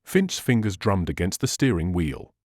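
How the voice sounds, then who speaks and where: A man's voice: low voice, very animated, moderate pace, a little mellow, no room sound, studio quality. A man narrating crime fiction as a dramatic scripted audiobook reading.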